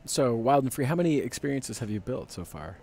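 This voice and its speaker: A man hosting a casual interview, asking questions in an informal, slightly halting way. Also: medium pitch, sing-song, vocal fry, a little mellow. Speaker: host interviewer.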